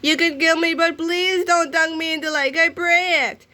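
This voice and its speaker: A woman's voice: very high-pitched, steady tone, moderate pace, sharp tone, voice pushed up. A woman voicing a whiny, babyish, boastful giant nerd boy in a comic storybook. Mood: pleading. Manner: whining, pleading, babyish.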